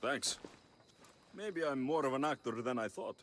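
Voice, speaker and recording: An actor playing a bold, wry young mercenary in a video game cutscene. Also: male voice, mid-range voice, animated, normal speed, a bit bright, slight background noise, natural voice. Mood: pleased, cocky.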